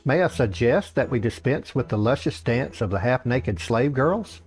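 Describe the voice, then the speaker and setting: A man plays a meek male acolyte in a comic fantasy storybook. He is dutiful, deferential and a bit nervous. The pitch is lively, with faint music behind. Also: moderate pace, lightly acted. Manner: deferential, quavering.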